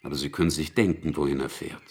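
A man in a German radio drama playing a sly, polite investigator who speaks deliberately with insinuating courtesy and quiet menace. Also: rumbling low, slightly rough. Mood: probing, insinuating.

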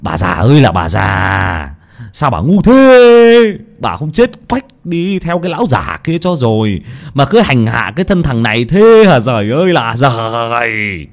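A man's voice: medium pitch, wildly swooping pitch, unhurried. A man voicing a brutal, lazy grown son who roars abuse at his sick old mother. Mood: raging. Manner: roaring, abusive shouting.

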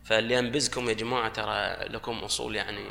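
A man, a guest on a panel, explaining his points with loud bursts of emphasis. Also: on the low side, flat delivery, quick pace, gravelly. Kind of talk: panel discussion. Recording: reverberant hall sound.

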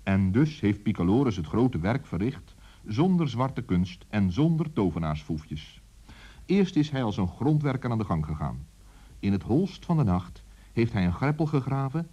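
A man narrating a children's radio story in a lively, expressive storytelling style, with a husky voice. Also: medium pitch. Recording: muffled old radio recording.

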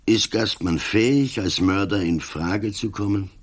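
An actor playing an old police commissioner in a radio play, cool, dry and sardonic in a deliberate way. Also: male voice, lowish voice. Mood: wry, measured. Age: older.

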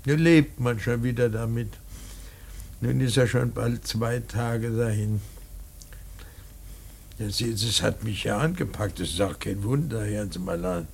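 A male actor playing a grieving, bitter father in a classic radio drama, speaking in a halting, emphatic, brooding way with a gravelly, husky voice. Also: fairly low pitch, a little hiss or hum. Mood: heavy grief. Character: grieving, bitter father at his dead son's bier. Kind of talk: classic German radio play monologue, theatrical.

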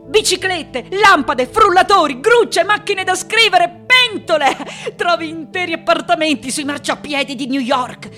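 A woman's voice: sits high, sharp tone, heavily acted. An actress playing a passionate, eccentric woman artist in a theatrical, emphatic radio monologue. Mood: enthralled. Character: passionate, eccentric woman sculptor reminiscing about New York.

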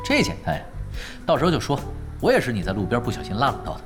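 An actor playing a laid-back, glib gaming veteran who teases and coaxes in an audio drama, with mock-serious turns. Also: male voice, mid-range voice, wildly swooping pitch, rapid-fire, music in the background. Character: laid-back teasing male gaming veteran coaching his boss. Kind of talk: Chinese audio drama acting.

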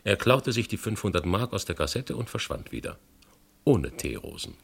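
An actor playing a probing, politely ironic and sceptical investigator in a German radio play, questioning formally and deliberately with a gravelly, husky voice. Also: male voice, lowish voice, very animated, exaggerated voice. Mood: dry irony.

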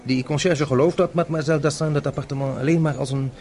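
Slightly noisy old radio drama, actor doing polite confident french agent guy, formal, measured, bit hesitant. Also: on the high side, fairly even intonation, dark tone, natural voice. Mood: composed, confident. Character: courteous, self-assured French intelligence officer named Guy. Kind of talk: Dutch radio play acting. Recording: radio play with noisy background ambience.

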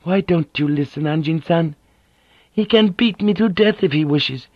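A woman's voice: low-pitched, normal speed, dry recording, lo-fi sound, voice pushed up. A man voicing a proud, wounded noblewoman. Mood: bitter. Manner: calm, controlled, bitter.

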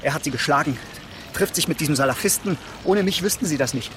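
An actor playing an aggrieved amateur investigator in a radio drama, talking in an insistent, resentful way. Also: male voice, fairly high pitch, expressive, fast talker, noisy background, natural voice. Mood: bitter, frustrated. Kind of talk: naturalistic radio drama acting.

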